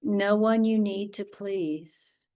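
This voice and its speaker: A woman teaching yoga nidra, guiding a meditation in a soothing, unhurried way with short, spaced phrases. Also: medium pitch, mellow. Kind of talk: guided relaxation meditation.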